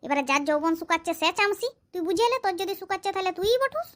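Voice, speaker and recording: A performer voicing a sharp-tongued, teasing schoolchild in a comedy cartoon, with a mocking, colloquial way of talking. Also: very high-pitched, expressive, very fast.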